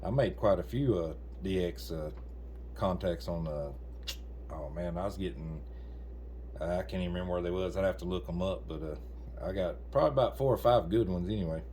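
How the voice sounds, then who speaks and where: A man's voice: sits low, fairly quick, dark tone, slight background noise. A man chatting casually as an amateur radio operator, in a folksy way with colloquial grammar.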